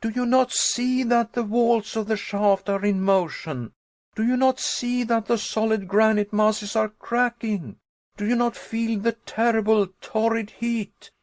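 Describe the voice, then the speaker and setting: A man reading an audiobook, voicing the narrator's terrified young self, who cries out in shrill panic. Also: fairly even intonation, measured pace, no echo. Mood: panicked.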